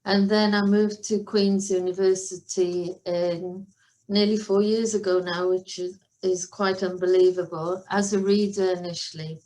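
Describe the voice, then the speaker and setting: A woman's voice: medium pitch, little pitch movement, unhurried, darkish tone, poor audio. A Welsh woman in her forties, a professor presenting online in a friendly manner, with many ums and restarts. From Wales. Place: university office on video call.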